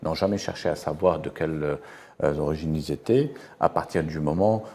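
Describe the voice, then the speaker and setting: A man on a television studio panel with a deep, dark, mellow voice. He lays out his points in a measured way, pausing on fillers as he adds detail. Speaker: panel guest. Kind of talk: studio talk show discussion.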